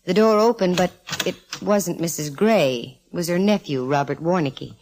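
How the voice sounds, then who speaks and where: A woman's voice: fairly low pitch, moderate pace, background noise, natural voice. An actress playing a wisecracking, self-possessed female private eye in 1940s radio style, dry and slangy. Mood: narrating, wry. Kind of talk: 1940s radio acting, first-person detective narration. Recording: old radio recording, faint music.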